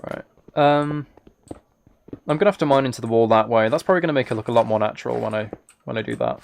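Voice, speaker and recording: A man casually chatting on a live game stream, thinking aloud in a relaxed way, close to the microphone. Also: medium pitch, fast talker, gravelly, slight background noise. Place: indoors at a computer.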